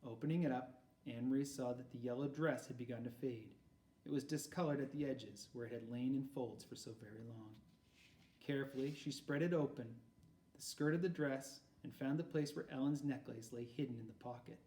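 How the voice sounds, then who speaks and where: A man narrating a storybook aloud in an expressive read-aloud style. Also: mid-range voice, fairly quick. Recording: roomy echo, far from mic.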